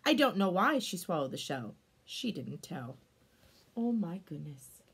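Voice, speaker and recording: A woman in her thirties reading a picture book aloud to children, expressive, with exclamations and chatty explanatory asides. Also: on the low side, unhurried, creaky, a little hiss or hum.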